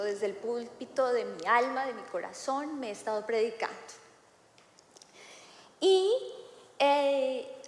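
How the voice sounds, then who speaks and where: A woman in her thirties preaching a sermon in a relaxed, conversational way, with frequent "eh" fillers and tag questions. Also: high-pitched. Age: 30s. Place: church hall. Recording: echoey hall with long reverb.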